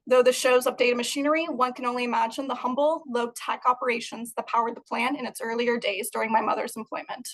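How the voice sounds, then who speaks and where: High-voiced woman presenting her art. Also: fairly even intonation, fast, few pauses, a bit bright, poor audio. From Moldova. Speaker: guest artist. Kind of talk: prepared presentation talk. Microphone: video call.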